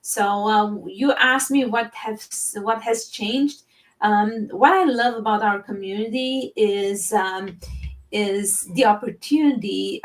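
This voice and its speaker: Earnest woman from Romania, distant mic. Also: mid-range voice. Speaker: guest, teacher and business owner. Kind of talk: live-stream interview.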